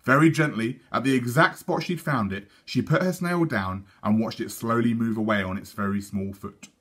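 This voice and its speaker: A man reading a children's story aloud like a teacher, in a measured, expressive way. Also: medium pitch, husky. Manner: measured, animated.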